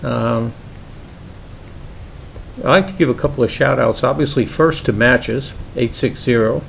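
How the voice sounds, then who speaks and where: Older Brooklyn-accented man, gravelly, relaxed halting home-vlog delivery, slight background rumble. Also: rough recording. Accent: New York (Brooklyn). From Brooklyn, New York. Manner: halting, many "uh"s and restarts, easygoing. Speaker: pipe maker vlogger. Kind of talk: casual hobby vlog.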